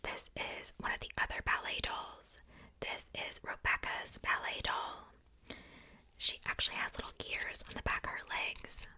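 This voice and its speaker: A woman whispering softly in a casual, chatty ASMR style, rambling along with conversational asides. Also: normal speed. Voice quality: soft unvoiced whisper. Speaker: ASMR video host showing a doll collection.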